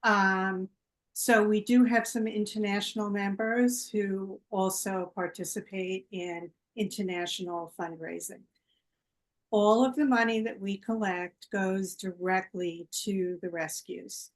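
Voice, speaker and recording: A woman hosting an online fundraiser, introducing her group slowly with many pauses and fillers. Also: medium pitch, fairly even intonation. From United States. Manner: slow and halting, with many fillers. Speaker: team captain and event host. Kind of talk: casual introduction.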